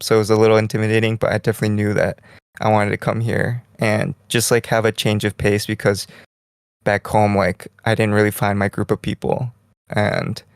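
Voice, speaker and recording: A young man co-hosting a podcast in casual chat, rambling in a relaxed way and saying "like" constantly, lying in bed in a small bedroom. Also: on the low side, creaky, smooth, no room sound, clean studio sound. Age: young adult. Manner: relaxed, rambling, heavy use of "like". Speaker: podcast co-host.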